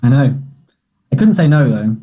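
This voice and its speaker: Anxious young man, husky and soft-toned an actor reading. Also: medium pitch, lively intonation, lo-fi sound. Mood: uneasy. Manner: hesitant, halting delivery. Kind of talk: play table read.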